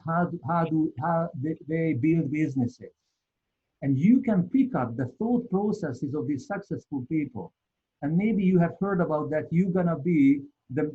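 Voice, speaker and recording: A man with a Hungarian accent presenting a live webinar, talking earnestly and haltingly with many repeated words. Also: a little high, slightly rough, phone-quality sound.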